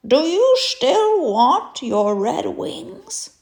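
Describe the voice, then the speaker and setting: Woman playing a slow, wise old groundhog. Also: high-pitched, very animated, creaky, darkish tone, reverberant, voice pushed up, full character voice. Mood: kindly advising. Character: wise old male groundhog, kindly, advising.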